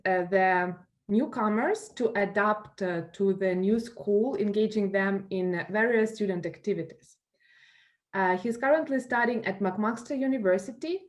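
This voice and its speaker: A woman with a Ukrainian accent moderating a roundtable Q&A, speaking with many fillers and restarts. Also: medium pitch. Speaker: moderator of a school alumni panel. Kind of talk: hosting a roundtable Q&A.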